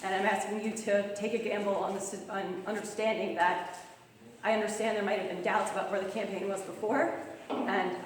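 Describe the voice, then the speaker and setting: A young woman with a Long Island accent, a campaign manager speaking fast and earnestly at a club meeting while losing her voice. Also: mid-range voice, fairly even intonation, slight background noise. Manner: fast-talking, earnest appeal. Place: meeting room. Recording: echoey hall from far off.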